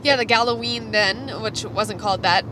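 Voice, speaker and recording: A woman's voice: on the high side, moderate pace, background noise. A woman with an American accent co-hosting a podcast in an enthusiastic, chatty way. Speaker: podcast co-host. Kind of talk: casual podcast chat. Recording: music behind the voice. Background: music.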